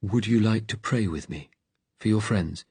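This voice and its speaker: A kindly but tired clergyman, as a male narrator plays him in an audiobook. He is gentle and direct, with a brisk note of authority, and the sound is clean and dry. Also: fairly low pitch, husky, no echo, voice pushed up. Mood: gentle, steady. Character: kindly, weary male vicar, calm and firmly commanding.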